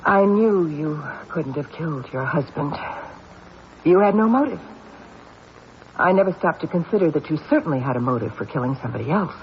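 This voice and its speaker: An actor playing a shrewd, stern police detective, accusing and deliberate, in a radio mystery drama. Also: male voice, on the high side, lively intonation. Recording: dull, noisy old radio broadcast.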